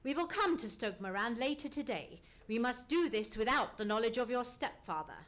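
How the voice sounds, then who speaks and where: A woman portrays a keen Victorian consulting detective in an old-time radio mystery. The voice is fairly high and highly animated. Questions come crisp and formal, with an air of probing authority. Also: normal speed. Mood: grave, decisive. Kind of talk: live old-time radio drama acting. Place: theatre stage.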